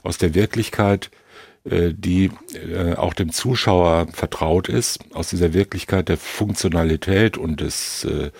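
Deep gravelly man, analytical. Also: a little mellow, no echo. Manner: halting, long-winded analytical sentences. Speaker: podcast co-host discussing films. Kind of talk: reflective film discussion.

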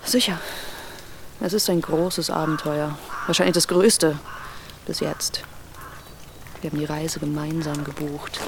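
An actress playing a hurt, reproachful wife on a wilderness trip in a naturalistic radio drama, with a probing, sarcastic manner. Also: female voice, low-pitched, steady tone, a little slow, long pauses, noisy background. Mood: quietly reproachful.

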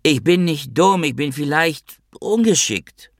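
A man's voice: fairly low pitch, moderate pace, gravelly, no echo, clean studio sound. A man voicing a sentimental old father, plaintive and tender, helplessly defending himself. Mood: hurt, defensive.

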